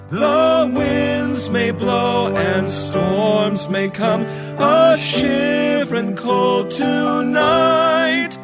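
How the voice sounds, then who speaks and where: A woman sings a sentimental song in a middle register. The phrasing is very drawn out, and loud music accompanies her. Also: a little high. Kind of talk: slow sentimental singing. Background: prominent musical accompaniment.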